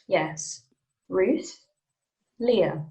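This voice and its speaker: A woman reading the part of a calm, matter-of-fact guide to the afterlife in her late thirties, at a script table read. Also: on the low side, extremely slow.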